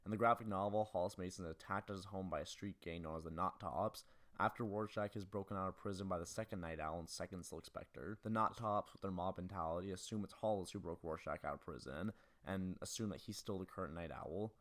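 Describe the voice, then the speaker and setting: A man's voice: low-pitched, brisk, fairly bright tone. A man reading a scripted film analysis as host of a video essay.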